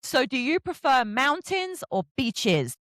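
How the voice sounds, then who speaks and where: A middle-aged woman, hosting a casual, chatty interview and telling self-deprecating stories in an animated way. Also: high voice, takes it slowly, dry recording, clean studio sound. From England. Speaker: podcast host interviewing an author.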